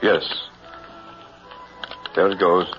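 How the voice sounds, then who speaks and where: A man's voice: low-pitched, flat delivery, extremely slow. A man playing a showy carnival professor in 1960s radio horror, with formal, theatrical phrasing. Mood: brisk, controlled. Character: showy carnival professor, huckster of a buried-alive act. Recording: vintage dull radio recording, music behind.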